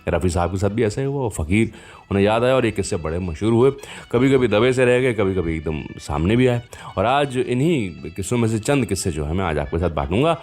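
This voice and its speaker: Low-voiced Indian male radio host over music. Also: animated, fairly quick, a little mellow. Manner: chatty, anecdotal, addresses listeners directly. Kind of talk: conversational storytelling presentation of film music. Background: background music.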